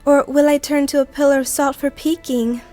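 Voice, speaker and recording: A woman plays an affectionate young fiancée in a radio drama. Her high voice is tender, coaxing and a little fretful, over faint music. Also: little pitch movement, a little fast, dark tone, lightly acted. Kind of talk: dramatic radio play acting.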